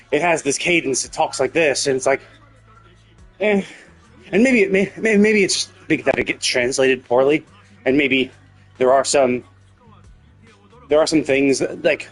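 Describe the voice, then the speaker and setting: A man's voice: mid-range voice, low-quality recording. A man livestreaming a game with casual commentary, speaking bluntly, full of opinions and casual swearing. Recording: game music behind the voice. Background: video game music.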